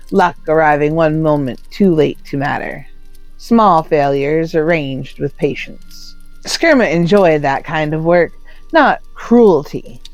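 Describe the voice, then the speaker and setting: A low-pitched woman narrates an old folktale. Her delivery is solemn and deliberate, broken by short dramatic pauses. The sound is roomy and echoing, with faint music underneath. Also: darkish tone. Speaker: storyteller.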